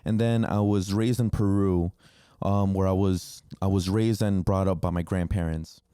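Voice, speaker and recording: A man's voice: low-pitched, steady tone, moderate pace, dark tone, clear, resonant tone, dry recording, studio quality. A man in his twenties, a native Spanish speaker, hosting a podcast and telling stories from his childhood in a loose, candid way, with frequent ums and casual swearing. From New Jersey, United States. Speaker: podcast host. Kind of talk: casual solo storytelling.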